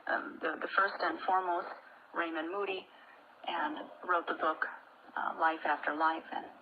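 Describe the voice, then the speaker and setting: A woman's voice: low-pitched, steady tone, normal speed. Earnest American woman on muffled radio. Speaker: radio interview guest. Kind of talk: conversational personal account. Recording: muffled noisy 1980s radio broadcast tape.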